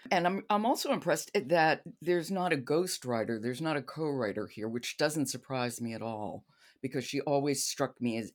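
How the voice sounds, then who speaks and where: Female podcast host, conversational interviewer discussing books with a colleague. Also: extremely low pitch.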